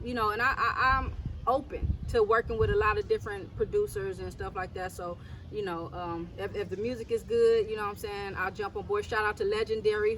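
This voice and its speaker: A woman's voice: mid-range voice, slight background noise. A woman from South Los Angeles, a rapper, talking casually in an interview with easy fillers and repeats. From South Central Los Angeles. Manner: relaxed, repeats words, many fillers.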